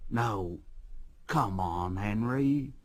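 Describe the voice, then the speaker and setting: A steady engine driver coaxes and reassures as a man puts him on. The voice is unhurried and husky, with a lively lift, a gentle storybook figure. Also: lowish voice, heavily acted. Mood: coaxing. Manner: coaxing, reassuring.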